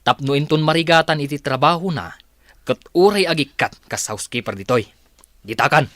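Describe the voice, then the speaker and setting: A man's voice: fairly high pitch, a little fast, fairly bright tone, slight background noise, natural voice. A man playing a blunt, impatient company boss in a radio-style drama. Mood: stern. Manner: blunt, questioning.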